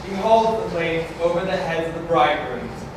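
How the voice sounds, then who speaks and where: A man with a slightly high voice reads out stage directions plainly, as narrator of a staged reading. He sounds far from the mic in a very echoey hall, with noticeable noise. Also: animated, normal speed. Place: large community hall.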